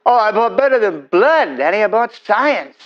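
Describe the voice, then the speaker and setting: An actor playing a domineering, wealthy 1950s presidential candidate, pitching grandly and persuasively over a telephone. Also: male voice, sing-song, moderate pace. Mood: grandiose. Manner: grandiose, persuasive salesman patter. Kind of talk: audio drama acting, telephone dialogue. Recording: dull telephone-style sound, faint music.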